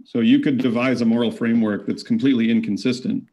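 A man's voice: medium pitch, fairly even intonation, quick pace, dark tone, rough recording. A man arguing his side in an online debate over a video call, reasoned and argumentative. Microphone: webcam video call.

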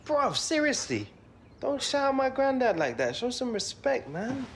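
An actor playing a cheeky, keen young footballer in a game cutscene, with a London accent and informal slang. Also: male voice, very high-pitched, sing-song, a little slow, slight background noise, exaggerated voice. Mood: annoyed. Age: young adult. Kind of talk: naturalistic video game cutscene acting.

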